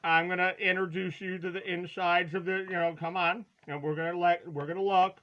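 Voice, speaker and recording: A man presenting a retro collectible in casual, rambling, halting chat, with a playful, hammy, folksy delivery and drawn-out words. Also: high-pitched, little pitch movement, highs cut off.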